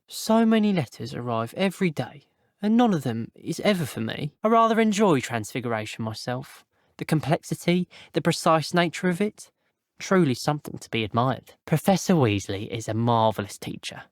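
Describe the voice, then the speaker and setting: A man's voice: on the high side, dry recording, clean studio sound. A man voicing an earnest, chatty teenage wizard schoolboy in a video game, with a British accent and a polite, wry, old-fashioned turn of phrase. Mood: earnest, conversational. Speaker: voice actor. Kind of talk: scripted video game character voice clips.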